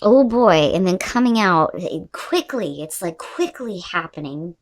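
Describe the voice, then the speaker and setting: A woman's voice: lowish voice, animated, unhurried. A woman host giving an unscripted tarot reading to viewers, talking in a conversational, emphatic way with the odd mild swear word.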